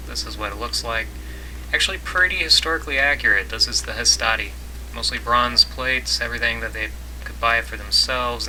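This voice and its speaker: A man's voice: on the low side, bright, forceful tone, a little hiss or hum, low-quality recording. A man giving casual, informal commentary on a strategy game, thinking aloud as he plays. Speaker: gaming video host. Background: game music, keyboard clicks.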